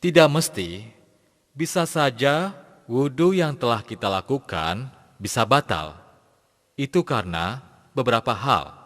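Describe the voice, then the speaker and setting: A man's voice: mid-range voice, lively intonation, a little slow, long pauses, fairly bright tone. A man playing a patient Islamic teacher in an educational cartoon, explaining step by step in speech. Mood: patient, instructive. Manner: methodical, instructive, enunciated step by step. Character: patient male Islamic teacher instructing young pupils. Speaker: religious teacher. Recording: long hall-like reverb.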